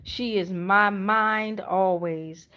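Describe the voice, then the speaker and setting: A woman's voice: medium pitch, steady tone, extremely slow, bright, forceful tone. A middle-aged woman with an American accent doing a casual live tarot reading in a sassy, emphatic way, swearing freely. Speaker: tarot reader hosting a live stream.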